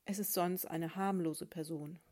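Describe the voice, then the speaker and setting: A woman's voice: on the low side, fairly even intonation, measured pace, warm tone. A woman voicing a pompous, pedantic male sponge who lectures everyone in a fairy tale. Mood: lecturing. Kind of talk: storybook reading.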